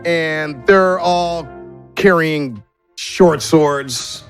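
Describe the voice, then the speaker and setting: A man narrating a tabletop game as game master, in halting, descriptive storytelling. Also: on the high side, faint music behind. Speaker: game master and show host.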